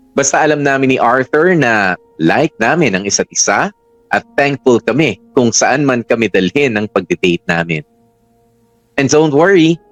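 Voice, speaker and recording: A man's voice: mid-range voice, no room sound, lightly acted. A man with a Filipino accent narrating a chatty first-person radio drama story. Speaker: narrator reading the letter sender's story. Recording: music bed under dry narration.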